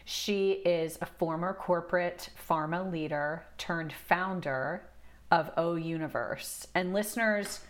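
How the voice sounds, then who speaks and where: A woman hosts a podcast and interviews her guest. She sounds bubbly and familiar, and her voice sits on the low side. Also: slow. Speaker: podcast host. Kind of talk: casual interview hosting.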